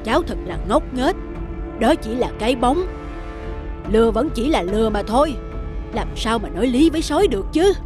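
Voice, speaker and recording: A protective older ape from a children's cartoon, played by a woman. The voice is fairly high, kindly but admonishing, like an elder minding a youngster. Music is clearly heard behind. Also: lively intonation, moderate pace, long pauses, close to an everyday voice. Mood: concerned warning. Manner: admonishing, concerned. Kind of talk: cartoon voice acting.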